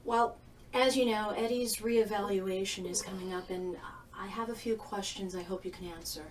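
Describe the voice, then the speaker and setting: A woman's voice: medium pitch, steady tone, normal speed, lightly acted. An actress playing a mother in a training video, politely asking a principal about her son's testing, recorded from a distance with faint room noise. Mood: earnest. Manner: polite, earnest questions. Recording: distant mic with faint ambience.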